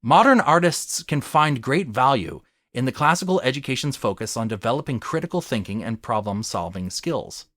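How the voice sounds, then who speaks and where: Man delivering an essay, dry and lively. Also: medium pitch, moderate pace, few pauses, no echo. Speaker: video essayist. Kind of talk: scripted argumentative narration.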